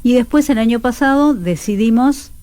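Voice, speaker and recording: A woman's voice: a little high, normal speed, dark tone. A woman with an Argentine Rioplatense accent, speaking conversationally as a radio drama actress and teacher being interviewed.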